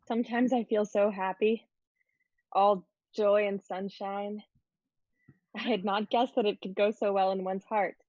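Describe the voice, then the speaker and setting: A woman playing a naive, ailing fourteen-year-old girl, earnest and halting, in a dramatic reading of an old play. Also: mid-range voice, steady tone, darkish tone, no echo, dull audio, close to an everyday voice. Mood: wistful.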